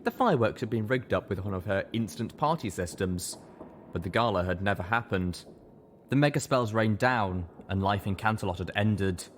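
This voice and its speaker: A man reading a first-person story aloud as an expressive narrator, with lively delivery. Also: lowish voice, moderate pace, slight background noise. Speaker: narrator of an audiobook fan fiction reading.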